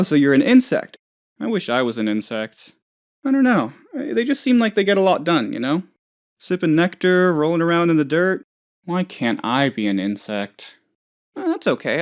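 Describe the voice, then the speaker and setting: An actor voices a hapless office boss from a comedic video game, full of childish self-importance. The delivery rambles and hesitates, swinging widely in pitch. Worry and whining creep through the thin show of confidence. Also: natural voice. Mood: wistful. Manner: rambling, hesitant, whiny when upset. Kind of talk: comedic game dialogue, voice lines.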